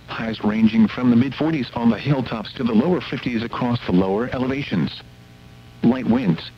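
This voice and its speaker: A man reading a scripted weather forecast as a radio announcer, in a formal, measured delivery. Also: mid-range voice. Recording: muffled weather radio broadcast, hum and static.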